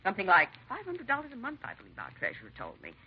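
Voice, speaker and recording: A woman's voice: mid-range voice, huge pitch swings, very fast, husky, exaggerated voice. A woman acting a genteel, dignified and trusting charity hostess in a 1950s radio drama, with a proper, hesitant manner. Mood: composed, chatty. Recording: muffled, noisy 1950s radio recording.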